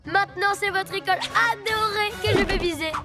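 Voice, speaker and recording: A very high, childish boy villain, barks and boasts like a showy little ninja boss. It is a cartoon voice over loud music. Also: fairly even intonation, brisk. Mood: gloating. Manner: barking, taunting, showy. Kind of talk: French cartoon dubbing.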